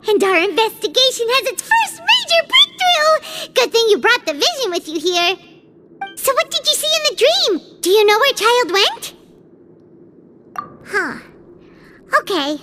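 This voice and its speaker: A woman voicing a small, childlike fairy companion in a video game, chatty, excitable and curious. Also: heavy background noise, heavily acted. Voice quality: squeaky, childlike. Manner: excitable, refers to herself in third person. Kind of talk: animated video game dialogue.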